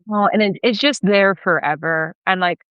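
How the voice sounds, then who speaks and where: A woman's voice: mid-range voice, normal speed, clear, resonant tone, no echo, lo-fi sound. A young adult woman from Australia with a Boston American accent, chatting enthusiastically as a guest author. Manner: chatty, enthusiastic, self-deprecating. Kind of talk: casual interview chat.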